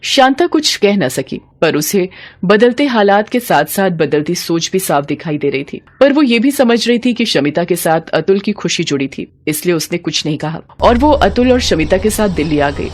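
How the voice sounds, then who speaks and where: A woman's voice: lowish voice, expressive, moderate pace. A woman narrating a scripted story as its storyteller.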